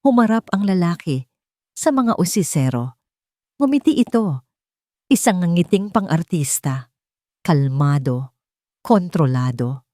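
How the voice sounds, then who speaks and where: A woman narrates a dramatic romance audio story in a fairly low voice. She places short phrases deliberately to build suspense. The sound is dry and close, with little room around it. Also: lively intonation, warm tone, dry recording.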